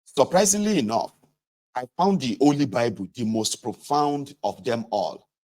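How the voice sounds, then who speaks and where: A man reading a first-person memoir aloud in measured phrases, with emphatic pauses. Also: medium pitch, lively intonation, darkish tone. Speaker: reader of a political memoir.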